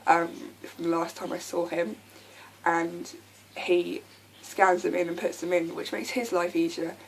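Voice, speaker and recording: A young woman with a croaky voice from a lasting sore throat, talking candidly to camera with many ums. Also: fairly low pitch, level tone, a little slow, slight background noise, rough recording. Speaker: vlogger. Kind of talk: casual talk to camera.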